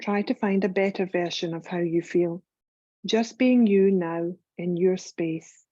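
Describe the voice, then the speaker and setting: A woman guiding a scripted meditation as a yoga nidra teacher, calm and soothing, unhurried, with long pauses between phrases. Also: lowish voice, no room sound, muffled recording.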